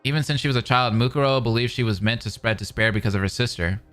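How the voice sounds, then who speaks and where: A man's voice: mid-range voice, fast, fairly bright tone. A man hosting a reaction video, reading visual novel narration aloud with casual, slangy commentary. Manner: informal, slangy asides.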